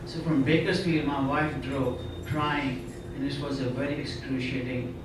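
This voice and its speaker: A man's voice: medium pitch, steady tone, normal speed, very noisy. Indian-born man, echoey conference hall. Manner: earnest storyteller, frequent "you know". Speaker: conference speaker. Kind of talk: personal testimony talk. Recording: echoey hall, speaker far from mic.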